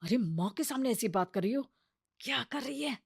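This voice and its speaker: Woman playing mild, henpecked young husband, quieter voice. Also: fairly high pitch, lively intonation, moderate pace, gravelly, breathy edge, no echo, studio quality, exaggerated voice. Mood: meek, wry. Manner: hesitant, placating. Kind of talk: Hindi comedy cartoon dubbing.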